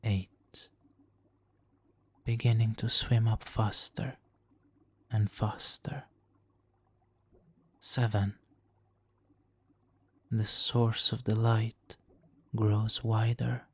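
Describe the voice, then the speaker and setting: Man acting a slow, silky, low-voiced supervillain. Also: flat delivery, husky, full character voice. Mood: soothing, hypnotic. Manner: slow, silky, theatrically polite, dips into whispers. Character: suave manipulative male supervillain, smugly doting on nemesis. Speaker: audio roleplay performer. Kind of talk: ASMR roleplay, hypnotic countdown.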